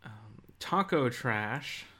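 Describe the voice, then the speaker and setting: Man in casual chat, medium-pitched voice. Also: expressive, takes it slowly, bright, forceful tone, a little hiss or hum. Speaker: podcast co-host. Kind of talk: casual video game podcast chat.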